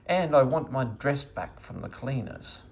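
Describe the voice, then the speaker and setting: A man's voice: mid-range voice, big pitch swings, measured pace, creaky, a little mellow. A man voicing a grumbling, bossy old woman in a children's storybook. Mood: brisk, matter-of-fact. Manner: querulous, bossy complaining. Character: grumbling, scolding old woman with a sore foot. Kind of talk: children's storybook read-aloud.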